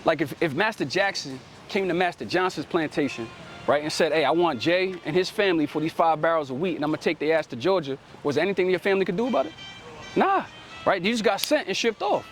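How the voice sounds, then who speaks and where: A man's voice: a little high, animated, quick pace, soft background music. A man preaching outdoors in street teaching style, ending nearly every sentence with "right?" and telling a helper to read. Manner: commanding, calls on the reader to read. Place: outdoors in a city.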